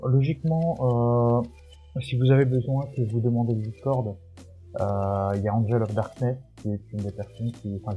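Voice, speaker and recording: Guy w a cold, kinda low stuffy voice, rambling minecraft commentary, lots of umms, music going in the background. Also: mellow. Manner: hesitant, many fillers and restarts. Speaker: gaming YouTuber. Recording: music playing behind.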